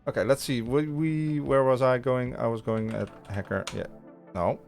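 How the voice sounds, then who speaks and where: A man's voice: medium pitch, fairly even intonation, normal speed, gravelly, a little mellow, faint music behind. A man from the Netherlands whose first language is Dutch, hosting a casual live coding stream and talking through his plans with viewers, with frequent restarts and fillers. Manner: rambling, many restarts, chatting with viewers. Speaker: livestream coding host. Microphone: studio podcast mic.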